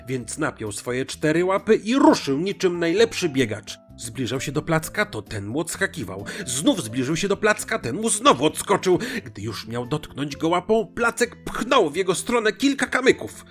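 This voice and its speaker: A man narrating a scripted children's fairy tale in an expressive, dramatic way. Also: fairly high pitch, moderate pace. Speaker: storyteller. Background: music.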